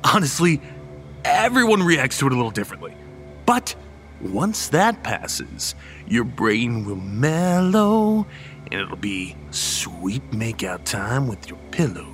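A man's voice: medium pitch, big pitch swings, slow pace, a bit bright, soft background music, heavily acted. A young man playing a cocky, goofy college student in an audio horror drama, flippant and casual. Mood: reassuring, playful. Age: young adult. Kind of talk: radio horror drama acting.

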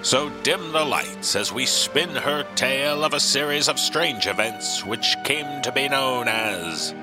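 A slow, suave radio horror host played by a male actor speaks over clear music. He announces like vintage radio, theatrical and ominous, weighing every phrase. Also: medium pitch, animated, lightly acted, low-quality recording. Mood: showy, sly. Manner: theatrical, deliberate. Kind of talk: old-time radio announcing, theatrical scripted narration. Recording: music behind the voice.

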